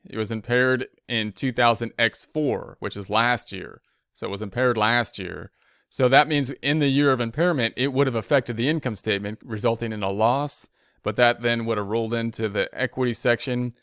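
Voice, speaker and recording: A man's voice: medium pitch, normal speed, a bit bright, clear, resonant tone, no room sound, clean studio sound. A man giving an informal spreadsheet tutorial as an instructor, thinking aloud step by step and often repeating himself. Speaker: accounting instructor.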